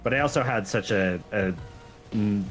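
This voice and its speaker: Male gamer, low voice, casual and explanatory, self-deprecating, faint music behind. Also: moderate pace, poor audio. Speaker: game mod creator, guest. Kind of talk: casual chat while playing a game. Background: background music.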